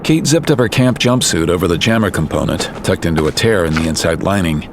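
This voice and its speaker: A man narrating a scripted audio drama in a serious, measured storytelling manner. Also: low-pitched, animated, background noise.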